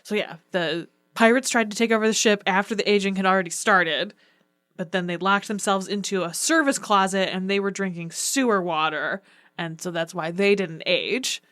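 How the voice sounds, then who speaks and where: A woman's voice: medium pitch, moderate pace, fairly bright tone, no echo, studio quality. A woman co-hosting a podcast in casual chat, wryly explaining the plot and laughing often. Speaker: podcast co-host.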